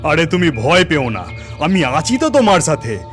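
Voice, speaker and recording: A man's voice: on the high side, sing-song, moderate pace, a bit bright, soft background music. A man voicing an earnest, devout village husband in a cartoon story. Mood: reassuring.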